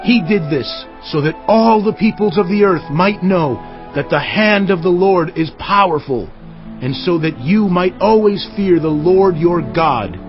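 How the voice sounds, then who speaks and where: High-voiced, earnest Joshua. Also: male voice, animated, measured pace. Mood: earnest, reverent. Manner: declamatory, firm. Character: Joshua, earnest, authoritative male Israelite leader. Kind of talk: dramatized audio Bible acting. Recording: dull audio, faint music underneath.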